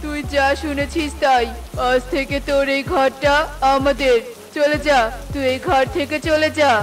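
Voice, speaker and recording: A woman's voice: high voice, flat delivery, unhurried, faint music behind. A woman voicing a bullying, thieving male crow in a children's cartoon, domineering and dismissive. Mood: menacing.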